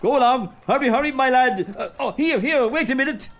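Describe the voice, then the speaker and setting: An actor with an Irish accent playing a reformed old miser, giddy with joy, exuberant and laughing in a radio play. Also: male voice, very high voice, brisk, fairly bright tone. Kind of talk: amateur radio play acting.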